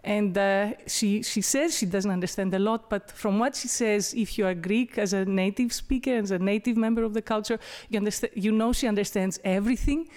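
Woman professor, casual lecture delivery, middle pitch, explains with light laughs. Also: a little fast, few pauses, darkish tone. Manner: chatty and explanatory, with small laughs and restarts. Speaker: professor of Byzantine history and classics. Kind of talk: informal talk introducing a poem.

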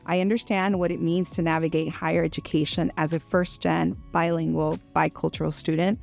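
Mexican-accented woman, spanish is her first language, bit of vocal fry, soft music under her. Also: fairly low pitch. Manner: mixes in Spanish words. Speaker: clinical social worker. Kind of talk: scripted introduction.

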